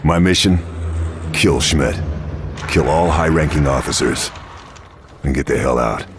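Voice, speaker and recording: An actor playing a grim, resolute World War Two sniper, narrating a video game cutscene in a terse, hard-boiled, gravelly voice. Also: male voice, unhurried, background noise. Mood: grim, determined. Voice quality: very deep, gravelly. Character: grim, resolute male WWII Allied sniper agent on a mission. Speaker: narrating protagonist.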